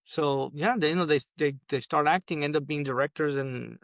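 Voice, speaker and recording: A man's voice: a little high, fairly quick, vocal fry, a bit bright, no room sound, studio quality. A man co-hosting a casual podcast, agreeing often with short replies. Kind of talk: casual chat.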